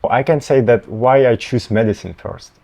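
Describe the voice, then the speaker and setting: A young man in his twenties with a Russian accent, a medical student answering interview questions haltingly, often pausing to find words. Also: medium pitch, gravelly, warm tone. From Tatarstan, Russia. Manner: halting, searching for words, many fillers.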